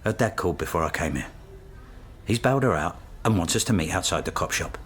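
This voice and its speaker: A blunt, animated senior academic. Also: male voice, low-pitched, fast, slight background noise. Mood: pressing, urgent. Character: pragmatic, pressing male senior academic colleague. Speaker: actor in a radio drama. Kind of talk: naturalistic radio drama acting.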